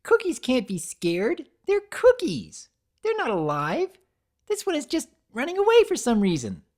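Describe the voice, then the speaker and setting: A woman voicing a hyper, impatient female cartoon pony with a loud, exaggerated, patronizing manner. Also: high-pitched, huge pitch swings, measured pace, pitched above the performer's own voice, exaggerated voice. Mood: patronizing, amused.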